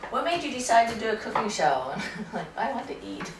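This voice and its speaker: A woman's voice: fairly high pitch, very animated, fast talker, distant mic. A middle-aged woman chatting casually and laughing while she chops vegetables at home. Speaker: cooking show co-host. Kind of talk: casual live cooking chat. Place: home kitchen, indoors. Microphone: distant camera mic. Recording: roomy kitchen sound from afar with noise.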